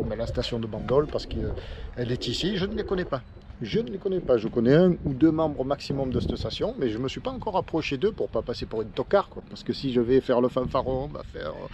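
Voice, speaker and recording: A man's voice: medium pitch, background noise. A man talking casually to camera in a rambling, self-correcting way, showing his watercolour cards from a boat. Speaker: vlogger and watercolour painter. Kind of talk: casual vlog talk to camera.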